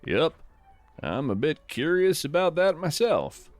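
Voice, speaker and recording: A man playing a gentle, folksy naturalist who hosts a scripted fiction podcast, musing poetically and with wonder about the woods. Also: fairly high pitch, very animated, no echo, soft background music. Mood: curious, musing. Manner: reflective, poetic, unhurried musing. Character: gentle, wonder-struck folksy naturalist narrating a woodland walk. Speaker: podcast host.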